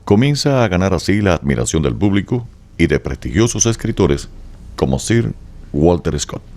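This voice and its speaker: Deep, expressive male radio narrator. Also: moderate pace. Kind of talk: scripted radio drama narration.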